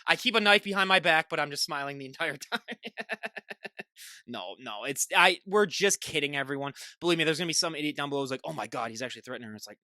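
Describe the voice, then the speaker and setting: A man's voice: fairly high pitch, expressive, fast talker, pushed and bright, no echo, studio quality. A young man from the Midwest hosting a podcast, chatting casually in a sarcastic, joking way. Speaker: podcast host. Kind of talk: casual chat.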